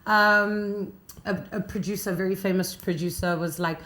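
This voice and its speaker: Animated young South African woman DJ. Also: medium pitch, normal speed. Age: young adult. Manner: animated, laughing, storytelling. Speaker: radio DJ and podcast guest. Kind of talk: casual interview chat.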